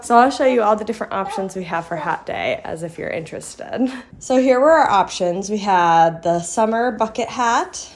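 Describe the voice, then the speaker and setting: A woman's voice: mid-range voice, expressive, moderate pace, few pauses. A young American woman chatting casually as a vlogging mother, recorded on a phone at arm's length at home. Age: young adult. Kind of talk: casual vlog chat. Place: indoors at home, living room and kitchen. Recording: clear household background noise.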